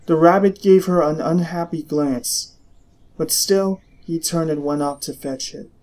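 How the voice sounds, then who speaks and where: Male narrator, somewhat high voice, scripted story reading, expressive, literary, dramatic. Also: a little slow.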